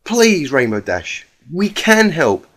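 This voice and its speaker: A man plays a gentle young pegasus mare in a storybook reading. She sounds worried and tentative, with a raised pitch. Her words come haltingly, as an earnest plea. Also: big pitch swings, full character voice. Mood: pleading.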